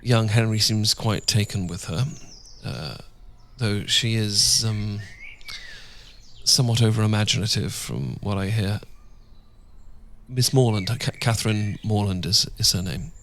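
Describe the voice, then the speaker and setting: Guy doing gentle devoted british period gentleman husband, asmr roleplay, really slow talker, faint birdsong behind him. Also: lowish voice, a little hiss or hum. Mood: gentle, chatty. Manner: gentle, hesitant, formal period phrasing. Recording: outdoor birdsong ambience behind voice. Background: birdsong and outdoor ambience.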